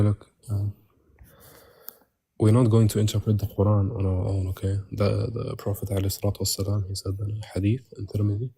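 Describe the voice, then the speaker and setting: Dark, muffled man through a voice changer. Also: lowish voice, breathy edge, no echo. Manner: terse, insistent, repeatedly pushing to move on. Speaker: debate participant. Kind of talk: live religious debate.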